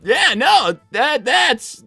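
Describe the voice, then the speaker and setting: A performer plays an earnest young boy cartoon fox with a bright, forward voice. He is an exasperated big brother, awkward and prone to flustered stammering, with music behind him. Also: sits high, sing-song, drawn-out words, creaky, dry recording, full character voice. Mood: nervous, awkward. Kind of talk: improvised character roleplay on a livestream.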